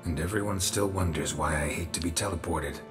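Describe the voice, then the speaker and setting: Fantasy game hero male actor: deep, gruff, weary and deadpan, terse delivery, loud music underneath. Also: fairly quick, close to an everyday voice. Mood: dry, sardonic. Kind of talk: video game voice acting.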